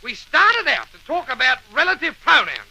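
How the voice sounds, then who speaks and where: An actor playing an exasperated, blustering Australian schoolteacher who stammers and scolds, in an old radio comedy. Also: male voice, extremely high pitch, huge pitch swings, moderate pace, vocal fry, bright, forceful tone, full character voice, low-quality recording. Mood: exasperated, spluttering. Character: exasperated, blustering male schoolteacher bossing silly pupils.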